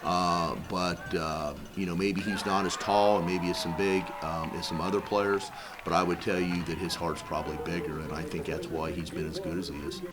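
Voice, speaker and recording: A middle-aged man, a head baseball coach, speaking in an interview with a halting delivery. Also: sits low, level tone, a loud crowd. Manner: halting, many fillers and restarts.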